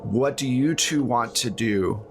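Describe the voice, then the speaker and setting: A man's voice: medium pitch, a little slow, soft background music. A man running a tabletop game, narrating casually as game master with a jokey streak.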